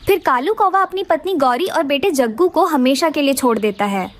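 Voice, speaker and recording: A woman narrator with a very high-pitched voice and animated intonation. She reads a scripted children's moral story as cartoon narration. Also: brisk.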